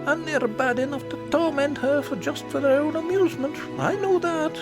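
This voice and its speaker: A man's voice: very high voice, moderate pace, music in the background, pitched above the performer's own voice. A man voicing a homely, worried mother, a miner's wife in a storybook. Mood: worried, disapproving.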